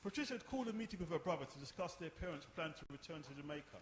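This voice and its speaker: A male narrator reads scripted stage directions for a drama. His voice is a little high for a man. The recording is echoey, with some hiss behind it. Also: expressive, rapid-fire. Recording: echoey room, live performance, hiss.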